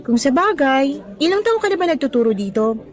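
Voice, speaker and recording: A woman's voice: sits high, sing-song, brisk, soft background music. A woman acting as a cheerful young new teacher in a radio drama, chatty and appreciative with a hopeful, slightly nervous temper. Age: young adult. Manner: bright-spirited, chatty, appreciative.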